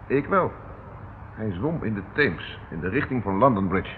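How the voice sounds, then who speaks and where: Guy acting as stern dry police inspector, lowish voice, old muffled radio drama recording. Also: lively intonation, normal speed, a little hiss or hum, close to an everyday voice. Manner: dry, curt, businesslike. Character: stern, impatient male police inspector on a case.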